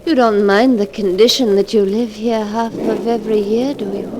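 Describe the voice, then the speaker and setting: An actress playing a young, possessive dying wife in 1940s radio melodrama, intense and breathless. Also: female voice, mid-range voice, fairly even intonation, normal speed, lightly acted. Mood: weak, possessive. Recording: noisy 1940s radio drama recording.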